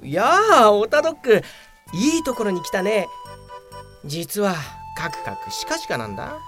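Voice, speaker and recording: A woman's voice: medium pitch, wildly swooping pitch, normal speed, a bit bright. A woman playing a hapless, grumbling veteran male office worker who narrates his own comic audio drama in a whiny, self-important way. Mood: cheerful relief. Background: prominent background music.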